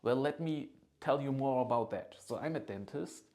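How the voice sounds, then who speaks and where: A man in his thirties giving an informal, earnest promotional pitch as a consultant, persuasive and often checking in with the listener. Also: animated, moderate pace, dark tone. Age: 30s. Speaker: agency founder and study consultant.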